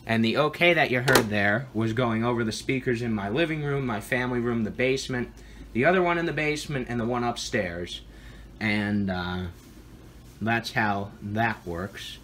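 A man's voice: medium pitch, moderate pace, fairly bright tone, a little hiss or hum. A man giving an informal, matter-of-fact demonstration of equipment as a video host, recorded indoors at home.

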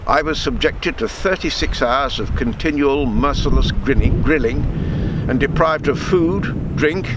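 A man, reading a true story aloud in measured, deliberate phrases. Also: mid-range voice. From Alderney, Channel Islands. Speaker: storyteller reading his book. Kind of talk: read-aloud true story with spoken asides. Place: outdoors on a rocky harbour shore. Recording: outdoor wind and water noise.

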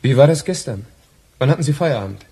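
An actor playing a dry, ironic, officious police detective in a radio crime drama, with a husky voice. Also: male voice, medium pitch, lively intonation, quick pace, warm tone. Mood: probing, dry. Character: sardonic male police detective questioning a witness. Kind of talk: 1980s German radio crime drama acting.

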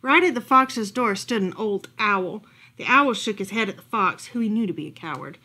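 A woman reading a children's picture book aloud at home in an animated storytelling style. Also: medium pitch, normal speed. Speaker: librarian reading bedtime stories. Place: furnished room at home, indoors.

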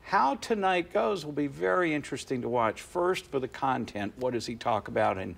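Measured man analyzing politics on TV. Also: medium pitch, animated, creaky. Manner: measured, explanatory, with frequent fillers and restarts. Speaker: poll director, studio guest analyst. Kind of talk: analytical studio interview. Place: TV studio set, seated on a couch. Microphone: lapel mic.

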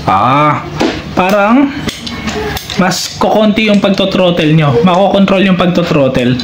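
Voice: mid-range voice, lively intonation, a little slow. A Filipino vlogger of unclear sex giving a casual, step-by-step hands-on tutorial while working at a table. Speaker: DIY motorcycle vlogger. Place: indoor room at a tabletop. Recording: roomy, very noisy with clinking parts. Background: loud noise and clinking of handled metal parts.

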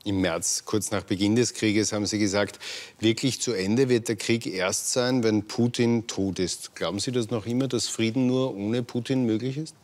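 A man in his thirties, a news anchor in a TV studio, asking measured, formal questions. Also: lowish voice, fairly even intonation, darkish tone.

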